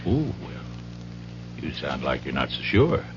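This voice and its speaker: A man's voice: a bass voice, huge pitch swings, measured pace, full character voice. An actor playing a folksy small-town police chief in a radio drama, a man asking skeptical questions. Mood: skeptical, curious. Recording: dull vintage radio broadcast, music behind.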